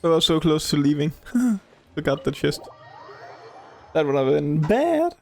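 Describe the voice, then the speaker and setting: A man's voice: fairly high pitch, sing-song, measured pace, gravelly, faint music behind. A man giving casual reactions as he records a video game playthrough. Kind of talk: casual reactions over gameplay.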